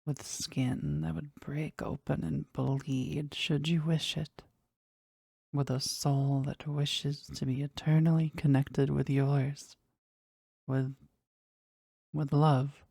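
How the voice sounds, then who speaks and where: A woman playing a powerful, jealous demon devoted to their master in an intimate audio roleplay, speaking deliberately and intensely in a husky, breathy voice. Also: level tone, warm tone, no echo, clean studio sound, full character voice. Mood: adoring, yearning. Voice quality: husky, breathy, low creaky voice. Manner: slow, deliberate, intense, dramatic.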